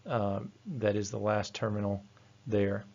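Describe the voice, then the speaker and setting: A man's voice: lowish voice, flat delivery, a little slow, a little mellow, dull audio. A man giving a matter-of-fact, methodical technical lecture as a training instructor. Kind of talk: slide-based technical lecture.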